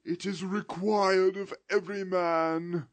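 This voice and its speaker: A man voicing a doomed, mournful male ghost in chains, drawing out his words in a slow lament. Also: sits high, fairly even intonation, no echo, voice pushed up.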